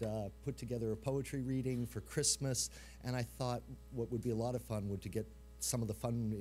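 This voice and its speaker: A man acting as master of ceremonies, giving informal, halting introductions to a live audience. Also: mid-range voice, a little mellow. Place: live event room.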